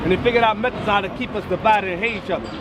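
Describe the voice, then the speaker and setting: Male street reader, high pitch, emphatic reading, crowded street. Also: very fast. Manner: reads aloud, stressing key words. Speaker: street preacher. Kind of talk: reading a text aloud with commentary. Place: outdoors on a busy street. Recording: outdoor street recording with crowd noise.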